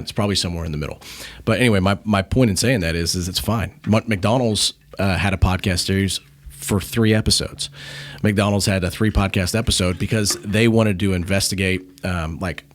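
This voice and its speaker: A man's voice: sits low, brisk. A man speaking as a podcast guest in a casual, conversational and blunt way, telling stories and explaining. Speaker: podcast guest and media company founder. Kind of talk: casual conversational interview storytelling.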